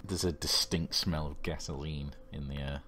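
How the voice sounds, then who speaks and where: A man's voice: rumbling low, fairly even intonation, normal speed. A man narrating a tabletop horror game as game master, in descriptive storytelling. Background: background music.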